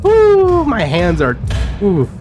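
Man, excitable, highly animated pitch swings, profane, casual gameplay chat, music underneath. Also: slow pace, muffled recording. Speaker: gaming video player.

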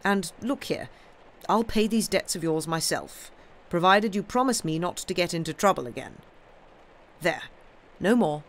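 A man voicing a stern elderly uncle in a storybook reading, gruff and blunt, lecturing a wayward nephew in old-fashioned phrases. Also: high-pitched, lively intonation, a little slow, a bit bright, exaggerated voice. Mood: firm, decided. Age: older.

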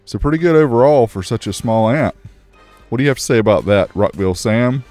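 A man's voice: medium pitch, fairly quick, darkish tone, soft background music. A man reviewing car audio gear, narrating a test in a matter-of-fact, casual, technical way.

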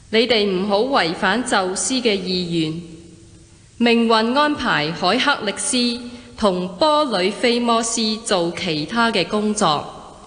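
A divine oracle, calm and commanding. She proclaims slowly and solemnly through a long, echoing reverb in a radio drama. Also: mid-range voice. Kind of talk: Cantonese radio drama acting. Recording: long hall reverb effect.

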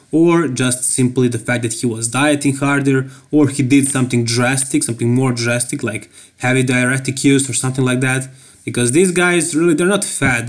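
A man with a Serbian accent giving casual, joking voice-over commentary on a bodybuilding show, laughing often. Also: medium pitch. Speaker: bodybuilding YouTuber.

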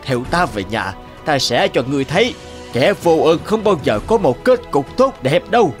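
A man's voice: on the high side, big pitch swings, normal speed. An actor playing a stern old farmer grandfather in a cartoon, scolding and firm. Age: older. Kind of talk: cartoon voice acting. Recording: faint music behind.

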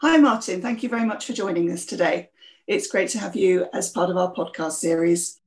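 A woman hosting a podcast, introducing the show and asking a guest clear, friendly questions. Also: fairly low pitch, brisk, darkish tone, faint music behind. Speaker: podcast host. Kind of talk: interview podcast.

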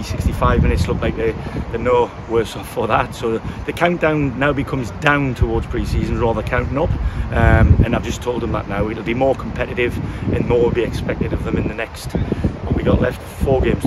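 A man in his fifties, a football manager, talking frankly after a match, often restarting his sentences as he thinks aloud, recorded outdoors beside a pitch. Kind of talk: post-match interview. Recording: loud wind and outdoor noise.

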